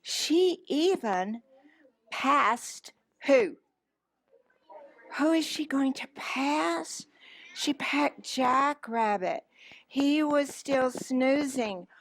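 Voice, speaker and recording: A woman with a high voice reads a children's story aloud at a very slow pace. She draws words out for dramatic emphasis and puts questions to her young listeners. The sound is close and dry. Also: a bit bright, no echo. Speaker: children's library story time reader. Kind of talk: animated picture-book read-aloud.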